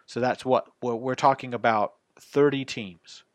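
Male podcast co-host, dry close mic, halting and self-deprecating, often restarts. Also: mid-range voice, steady tone, dry recording. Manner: hesitant, stammering, self-deprecating. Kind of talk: casual podcast chat.

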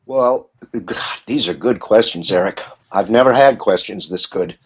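A man with a fairly low voice, chatting as an interview guest. He tells stories in a candid, rambling way, stopping and restarting his sentences. The sound is dull and lo-fi. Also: normal speed, husky. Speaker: voice actor guest. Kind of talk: casual interview talk. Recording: muffled lo-fi audio.

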